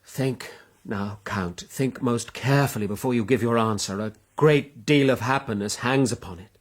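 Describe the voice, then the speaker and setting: An actor playing an earnest, determined young gentleman with an upper-class English accent in a period radio drama. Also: medium pitch, normal speed, natural voice. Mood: earnest, probing. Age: young adult.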